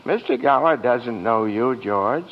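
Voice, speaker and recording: An actor playing a gentle, naive and ancient little guardian angel, quaint and earnest, in old-time radio drama style. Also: male voice, mid-range voice, very animated, unhurried. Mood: gentle, solemn. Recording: dull old radio recording with music.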